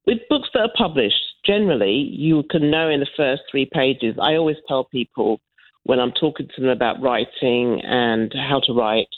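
Female author on a phone line, very low voice, tinny sound. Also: lively intonation, normal speed. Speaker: author and prize judge. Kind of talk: radio interview.

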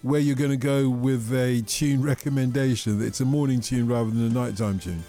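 Jokey radio presenter. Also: male voice, medium pitch, fairly even intonation, a little fast, mellow, soft background music. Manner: jokey, chatty, self-mocking. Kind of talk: casual chat with a caller.

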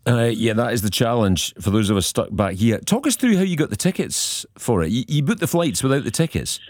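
A man's voice: medium pitch, very animated, fairly quick, darkish tone, dry recording, clean studio sound. A man hosting a radio phone-in, chatty and upbeat as he questions callers. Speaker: radio host.

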